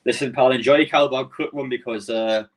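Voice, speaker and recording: A man's voice: mid-range voice, steady tone, fast talker, far from the mic. A man from Newcastle with a Geordie accent, hosting a casual live stream and chatting with his viewers in a rambling way. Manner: chatty, rambling, answers chat messages.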